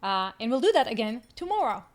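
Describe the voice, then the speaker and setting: A woman's voice: a little high, sing-song, fast talker. Israeli woman hosting a live stream. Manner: upbeat, laughs often, fillers. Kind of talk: casual live stream chat.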